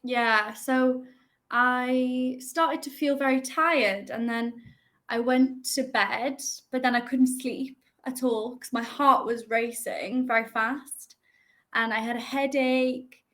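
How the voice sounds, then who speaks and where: A woman's voice: sits high, fairly even intonation, takes it slowly, a bit bright. A young woman from the UK who teaches English, chatting casually on a video call with lots of ums and hesitations. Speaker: online English tutor.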